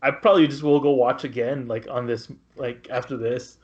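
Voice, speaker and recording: Guy on a movie podcast, medium pitch, stumbles over words, bit muffled audio. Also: steady tone, darkish tone. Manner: halting, repeats words as he searches. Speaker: podcast panelist reviewing films. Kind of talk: casual chat.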